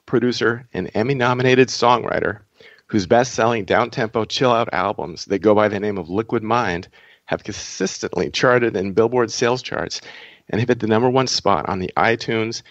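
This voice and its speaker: A man's voice: lowish voice, no room sound, highs cut off. A man hosting a podcast, speaking in reflective monologue and introducing guests. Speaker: podcast host.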